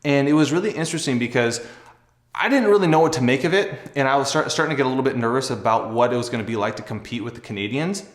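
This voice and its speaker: Echoey room, young adult man, medium pitch, conversational storytelling, earnest tone. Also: animated, fast talker. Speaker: athlete and video host. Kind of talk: casual personal storytelling to camera.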